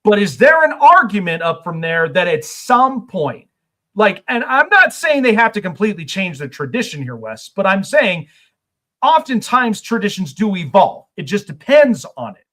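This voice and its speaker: Male sports talk host, high animated voice, rambling casual delivery. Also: normal speed. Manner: rambling questions with many fillers.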